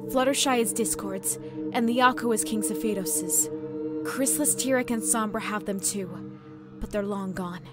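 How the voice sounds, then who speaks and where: A woman's voice: mid-range voice, moderate pace, over loud music, lightly acted. A woman voicing an earnest young pony heroine. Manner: earnest, urgent. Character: young half-god pony heroine, determined and hopeful. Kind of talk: fan audio drama acting.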